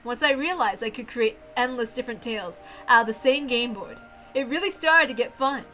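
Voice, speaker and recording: Woman playing a bright-voiced smug witch. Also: a little high, brisk, faint music behind. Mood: smug, pleased. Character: smug playful golden witch, teasing and theatrical. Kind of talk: dramatic visual novel read-aloud.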